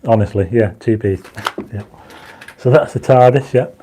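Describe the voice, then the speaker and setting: Casting: man, croaky dry-mouthed livestream host, mellow tone, chats with viewers. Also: medium pitch, moderate pace, slight background noise. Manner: reads viewer comments aloud, urges likes; enthusiastic when explaining stars. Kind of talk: casual livestream chat. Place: home astronomy studio.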